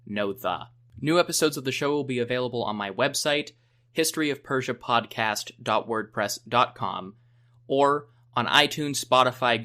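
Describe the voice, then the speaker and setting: A young man hosts a history podcast with a bright, crisp voice. He reads from a script at a middle pitch in a measured, explanatory way. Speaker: podcast host. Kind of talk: scripted narration.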